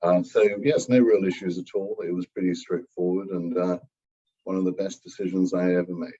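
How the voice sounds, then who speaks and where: A man with a very deep, mellow and husky voice answers an interview in measured, formal phrases. The recording sounds dull and lo-fi. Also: steady tone. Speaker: business development executive.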